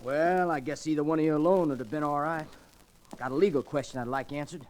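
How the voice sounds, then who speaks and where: A fairly high voice with faint noise from a vintage radio recording. It is a man playing a young ranch hand in a western drama, earnest, awkward and folksy, who halts as he talks. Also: darkish tone, natural voice. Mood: eager. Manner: halting, folksy. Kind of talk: old-time radio western acting.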